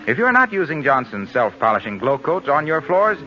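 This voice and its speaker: A man's voice: a little high, sing-song, brisk. A man announcing and reading ads in a polished, salesmanlike 1940s radio style, on a muffled old recording. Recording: dull 1940s radio recording with faint music.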